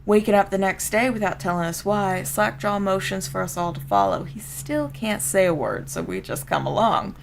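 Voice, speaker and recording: Woman as gravelly, streetwise Southern gang storyteller. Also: mid-range voice, very animated, moderate pace, hardly any pauses, slight background noise. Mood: streetwise, matter-of-fact. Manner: folksy, streetwise, colloquial. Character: rough street-gang storyteller, plain-spoken old comrade of a boss. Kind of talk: dramatic first-person story reading.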